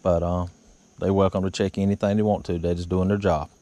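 Low-voiced man fishing from a boat. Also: a little fast. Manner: relaxed drawl with frequent uh fillers. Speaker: fishing vlogger. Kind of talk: casual narration while fishing. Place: small boat on a swampy river outdoors. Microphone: action camera on the boat.

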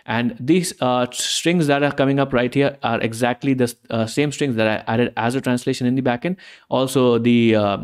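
A young man whose first language is Hindi walks viewers through a screen-recorded software tutorial. He talks casually and explains as he goes, often pausing with fillers and restarting sentences, in a voice of ordinary pitch.

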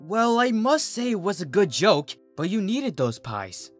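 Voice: mid-range voice, sing-song, unhurried, soft background music, pitched above the performer's own voice. A narrator voicing a put-upon young male cartoon dragon, plaintive and exasperated. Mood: dejected.

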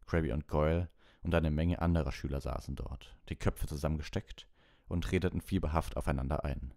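A man with a gravelly, very deep voice reading a story as an audiobook narrator. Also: fairly even intonation, a little fast, a little mellow, no room sound, clean studio sound.

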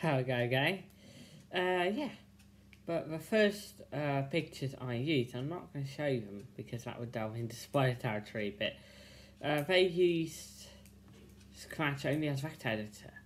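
A young man giving casual, unscripted video commentary in a halting way, thinking aloud. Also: medium pitch, lively intonation. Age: young adult. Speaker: video creator commenting on viewer feedback. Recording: hissy home recording.

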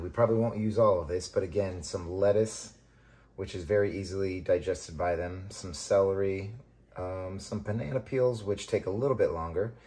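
A man with a deep voice narrates a how-to lesson in an easy, conversational way. His tone is dark and soft rather than bright, and he explains each step plainly while working through the task. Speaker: vermicomposting channel host. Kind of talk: hands-on tutorial narration.

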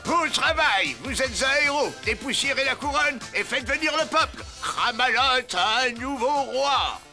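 A man's voice: very high voice, animated, a little slow, music in the background, exaggerated voice. A man voicing a fussy, theatrical old bearded wizard in a cartoon dub. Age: older. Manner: theatrical, pompous.

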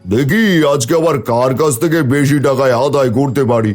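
A man's voice: mid-range voice, fairly quick, darkish tone, soft background music, lightly acted. A man voicing a blustering old village landlord in a cartoon, exclaiming melodramatically. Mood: greedy. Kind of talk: cartoon voice acting.

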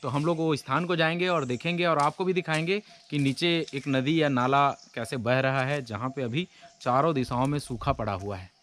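A man in his thirties talking to viewers as a travel vlogger while riding and walking through a dry forest, lively and direct. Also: mid-range voice, moderate pace. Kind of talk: casual travel vlog narration. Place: outdoors in a dry forest, riding pillion and hiking. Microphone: action camera on a bike and handheld. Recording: outdoor wind and ambient noise. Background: forest ambience and motorbike noise.

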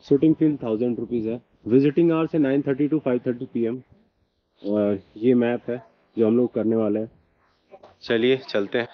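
A man's voice: mid-range voice, measured pace, muffled recording. Chatty male travel vlogger. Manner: chatty, mixes English phrases, excited exclamations. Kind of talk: casual travel vlog narration. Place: outdoors and inside a limestone cave.

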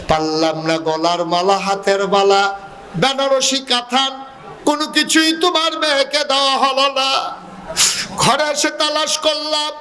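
A man with a Bangladeshi accent preaching an impassioned Islamic sermon, telling a religious story in an emotive, half-chanted way with drawn-out phrases. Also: sits high, fairly bright tone.

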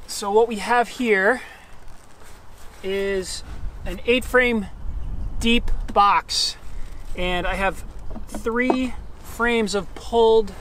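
A middle-aged man working as a beekeeper, calmly talking to himself outdoors on a farm while he works. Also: high-pitched, extremely slow, pauses often, a little hiss or hum. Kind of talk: casual hands-on narration.

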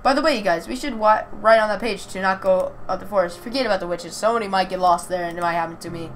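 A woman's voice: mid-range voice, fast talker, lightly acted. A woman voicing a determined, easily flustered young man, the hero of a visual novel, emphatic and conversational. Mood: relieved, urgent. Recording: clear background noise.

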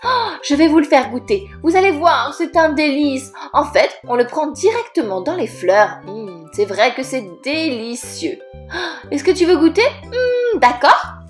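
A woman voicing a gentle grown-up fairy who speaks slowly and softly. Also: high-pitched, lively intonation, faint music behind. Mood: dreamy.

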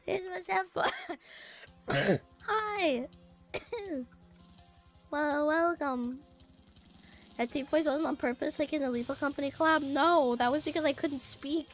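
Raspy-voiced sick young woman streamer. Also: high-pitched, takes it slowly, pauses often. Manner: sings la-la to herself, swears, jokes with chat. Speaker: VTuber streamer. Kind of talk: casual game stream chat. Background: faint game music.

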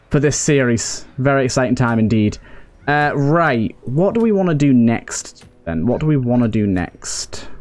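A man's voice: mid-range voice, a little mellow. A man giving casual video game commentary and storytelling, rambling and thinking aloud as he plays. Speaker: host of a video game roleplay series. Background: game music.

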